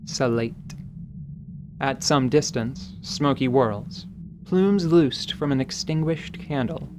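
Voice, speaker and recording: A male narrator with a mid-pitched voice tells a fantasy tale in measured, deliberate phrases. The delivery is dramatic and literary, with clear background ambience behind the voice. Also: noisy background.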